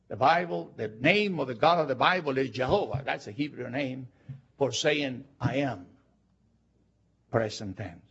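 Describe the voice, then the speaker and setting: Animated Argentine-accented older male preacher. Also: medium pitch, breathy edge. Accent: Argentine Spanish. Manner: animated and emphatic, drawing out key words. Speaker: pastor. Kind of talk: sermon. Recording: dull lo-fi recording.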